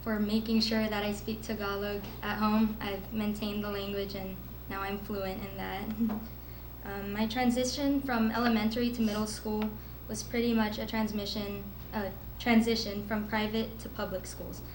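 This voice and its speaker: A woman's voice: mid-range voice, flat delivery, normal speed, background noise. A teenage girl from the Philippines giving an earnest prepared speech as a student speaker at a school event. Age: teens. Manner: earnest, with nervous laughs. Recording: echoey room with faint ambience.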